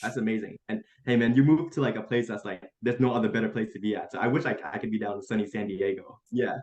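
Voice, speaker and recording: A young man from Vietnam talks quickly and keeps things friendly and upbeat, often calling his host "man." His voice comes through a dull, muffled video call line that carries a fair bit of room echo. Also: lowish voice, fairly even intonation, a little mellow. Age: young adult. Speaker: guest, college student. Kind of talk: casual interview chat.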